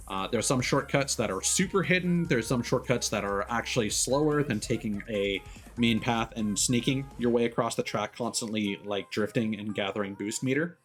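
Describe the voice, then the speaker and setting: A man's voice: lowish voice, fairly bright tone. Man streaming game commentary over music. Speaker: live streamer playing a racing game. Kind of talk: casual gameplay commentary, explaining controls.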